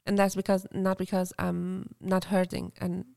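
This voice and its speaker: A woman from Afghanistan co-hosting a podcast, speaking softly and reflectively with halting restarts. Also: on the low side, monotone, gravelly, no echo, clean studio sound. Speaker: podcast co-host. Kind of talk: casual podcast conversation.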